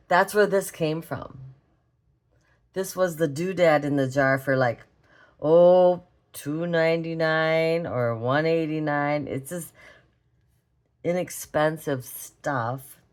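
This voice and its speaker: Raspy, low-voiced woman with a cold. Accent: Minnesotan. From Minnesota. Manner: chatty, rambling, talks while drawing. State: hoarse from a cold. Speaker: art vlogger. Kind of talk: relaxed drawing tutorial chat.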